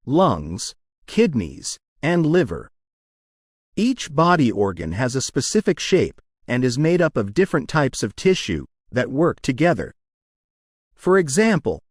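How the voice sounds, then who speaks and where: A man narrates short study passages in a measured, deliberate way. His intonation moves freely up and down across each phrase. The sound is dry and close, with no room around it. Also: mid-range voice, no echo. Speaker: narrator of English test practice passages. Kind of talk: scripted read-aloud narration.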